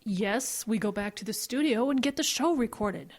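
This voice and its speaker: A woman plays an exasperated radio station skeptic in a comic audio play. Her voice is fairly high, with dry, sardonic delivery. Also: animated, moderate pace. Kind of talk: audio comedy drama acting.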